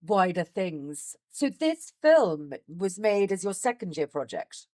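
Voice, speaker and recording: A woman's voice: lowish voice, big pitch swings, takes it slowly, a little mellow. A woman hosting an interview, asking engaged, encouraging questions about filmmaking.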